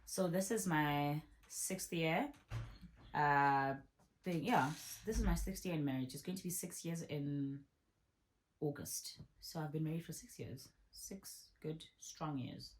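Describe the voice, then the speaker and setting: A woman, chatting casually as she answers viewer questions in a vlog. She talks in a relaxed way and repeats herself for emphasis. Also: low voice, a little slow, poor audio. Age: 30s. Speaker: vlogger.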